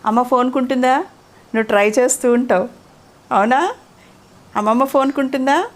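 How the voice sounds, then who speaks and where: Female storyteller, high voice, chatty bedtime-tale delivery that quizzes the young listener. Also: normal speed. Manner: interactive, asks the child questions. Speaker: storyteller to a child. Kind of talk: conversational bedtime moral storytelling.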